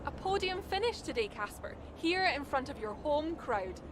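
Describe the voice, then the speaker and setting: A trackside TV interviewer in a racing game. Her voice is high, polite and upbeat, with clear outdoor noise around it. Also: sing-song, moderate pace. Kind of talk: broadcast interview in a video game.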